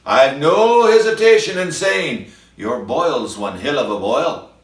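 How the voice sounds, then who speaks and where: A man's voice: on the high side, animated, slow, reverberant, distant mic, voice pushed up. A man voicing a blunt, emphatic whiskered professor in a recited comic ballad.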